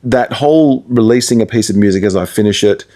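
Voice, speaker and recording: A man's voice: fairly low pitch, fairly quick, dark tone. A man with an Australian accent talking casually as a guest in an interview about his work as a presenter and musician. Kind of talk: casual conversational interview talk.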